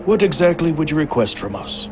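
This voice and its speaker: A man's voice: a little high, sing-song, fairly quick, noisy background. An actor playing an imperious, impatient king in an audio drama, formal and condescending. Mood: haughty. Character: imperious, impatient king holding royal court.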